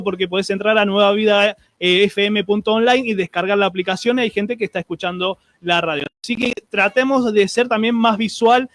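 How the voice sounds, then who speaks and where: A man's voice: high voice, fairly bright tone, dry recording. A man with an Argentine accent hosting a streamed radio show, chatting and greeting listeners with many restarts and fillers.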